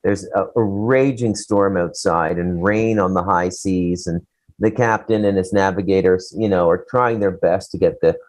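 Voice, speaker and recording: A man's voice: low-pitched, moderate pace, poor audio. A man co-hosting a casual talk show, giving opinions in a rambling, blunt, profane way. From Canada.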